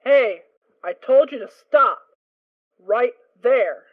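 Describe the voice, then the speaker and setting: Suspicious guard stallion played by a woman, curt orders, slow and somewhat high, tinny phone-like line. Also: expressive, no echo. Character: wary stallion guard pony with a rifle.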